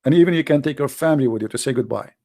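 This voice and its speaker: A man speaks straight to his viewers in a blunt, emphatic livestream monologue, preaching as a daily broadcast host. His voice has a gravelly rasp. The sound is dry and close, with no room echo. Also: medium pitch, very fast, mellow. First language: Arabic.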